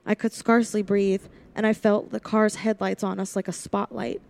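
Casting: woman to play frightened young heroine narrating a vintage radio thriller, mid-range voice, clear background noise. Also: medium pitch, little pitch movement, moderate pace, a little mellow, natural voice. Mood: tense, frightened. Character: frightened young woman recounting her attack, dramatic and tense.

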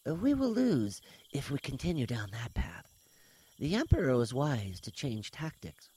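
Man voicing a composed alien reptile commander, raised husky voice, lilting, knowing, earnest, audiobook dialogue. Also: mid-range voice, wildly swooping pitch, a little slow, dark tone, pitched above the performer's own voice, heavily acted. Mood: calm, grave.

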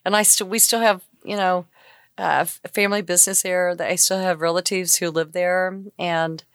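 A talkative older woman with a raspy, low-set voice that still comes through smooth and full. She rambles through her stories as an interview guest, leaning on fillers. The recording is close and dry. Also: little pitch movement, normal speed, no room sound. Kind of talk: casual conversational storytelling.

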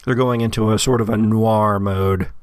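A man's voice: on the low side, steady tone, moderate pace, clear, resonant tone, no echo, clean studio sound. A man narrating a tabletop horror game as game master.

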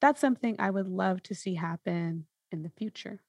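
Woman, noticeable vocal fry, slightly low pitch, dry close sound, casual podcast host, reflective explaining. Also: normal speed, darkish tone, no echo, muffled recording. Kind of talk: casual conversational podcast talk.

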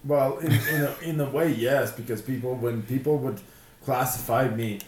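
A man's voice: medium pitch, steady tone, moderate pace. A man from Ukraine, chatting casually and bluntly, swearing and stammering over repeated words. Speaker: podcast host. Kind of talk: casual chat. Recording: echoey room, clear background noise.

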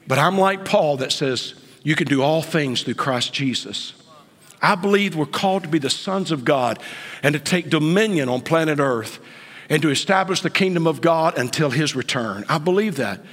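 Man, late 50s, pastor, sermon delivery that slides between hushed whispers and high exclamations, breathy timbre, reverberant sanctuary. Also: expressive, normal speed. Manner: swings from hushed whispers to sudden high outbursts. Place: church sanctuary. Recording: long church reverb.

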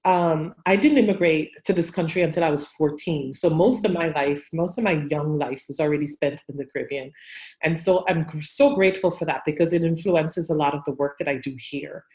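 A woman's voice: low-pitched, moderate pace, darkish tone, echoey room. A woman from Guyana, a guest herbalist and spiritual healer, telling her story thoughtfully and unhurriedly with frequent ums. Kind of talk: relaxed interview storytelling.

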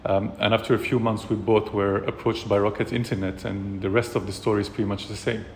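Low-voiced man from Morocco, reverberant room. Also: flat delivery, slight background noise. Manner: measured, reflective, many fillers. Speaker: startup co-founder, guest. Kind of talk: casual interview.

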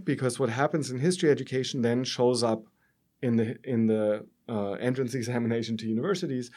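A middle-aged man from Germany with a German accent, speaking as an academic guest in an interview, haltingly, often checking with a questioning tag. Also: mid-range voice, a little mellow. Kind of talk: interview, explanatory academic discussion.

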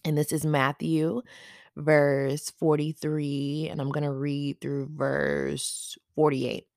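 Faith podcast host with a raspy, gravelly morning voice; chatty, speaks directly to listeners; dry room. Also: medium pitch, level tone, slow pace, darkish tone, no echo. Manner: chatty, affectionate toward listeners. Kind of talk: casual faith podcast talk.

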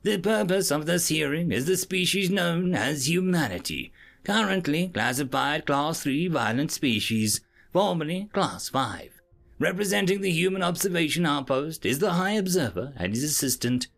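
A man's voice: fairly high pitch, animated, normal speed, creaky, a bit bright, voice pushed up. A man voicing a pompous, bored alien judge in a science fiction story, formal and demanding.

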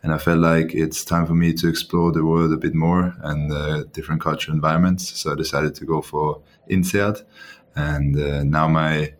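A young man from Austria, a student guest speaking in a confident, measured way. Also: rumbling low, flat delivery, slight background noise.

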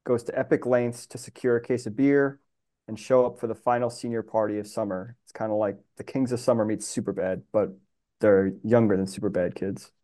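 Mellow, low-voiced man pitching casually. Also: fairly even intonation, a little fast. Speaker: podcast guest, screenwriter.

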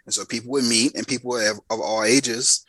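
A man's voice: mid-range voice, steady tone, fairly quick, fairly bright tone, no room sound. A man in his thirties, a podcast guest, telling a story in emphatic casual chat and repeating lines for effect. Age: 30s.

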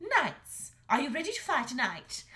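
A woman's voice: high-pitched, huge pitch swings, normal speed, bright, forceful tone, voice pushed up, heavily acted. A woman voicing a shrieking, scornful mother dragon. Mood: scolding. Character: huge angry female dragon mother, scolding.

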